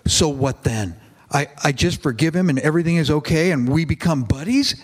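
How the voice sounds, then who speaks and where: A man's voice: a little high, animated, fairly quick, breathy edge. A man reading aloud and voicing a grief-stricken, bitter father who pleads in a raised, anguished voice.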